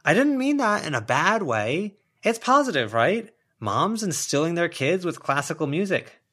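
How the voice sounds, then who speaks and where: Guy doing a bossy tactless lady, voice pitched way up, blunt and pushy, audiobook character. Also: sing-song, moderate pace, fairly bright tone. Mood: defensive, breezy. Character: brash, nosy, tactless short blonde woman.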